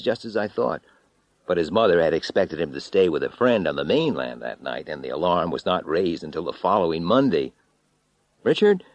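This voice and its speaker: A man narrating a first-person horror story as an audiobook reader, theatrical and intense, swinging between hushed and loud delivery. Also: low voice, no echo.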